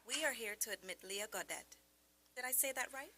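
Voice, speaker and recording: An actress playing a formal, courteous prep school official in a radio drama. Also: female voice, fairly high pitch, lively intonation, a little fast, sharp tone. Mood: polite.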